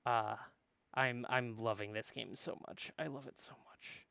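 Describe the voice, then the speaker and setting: A man's voice: medium pitch, steady tone, a little slow, vocal fry, bright, forceful tone, clean tone, no room sound. A man chatting casually as host of a game stream, in a rambling, jokey way.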